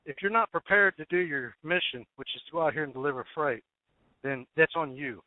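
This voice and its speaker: A man with a somewhat higher voice speaks on a dry, narrow telephone connection. He is a trucker calling in with unscripted advice, blunt and emphatic, in short clipped phrases. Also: brisk, dry recording. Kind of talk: unscripted advice on a conference call.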